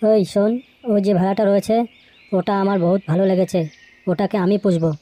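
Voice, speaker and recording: A performer voicing a menacing, insistent landowner's brother in an animated cartoon story. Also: mid-range voice, a little fast, a little hiss or hum, close to an everyday voice. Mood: bullying.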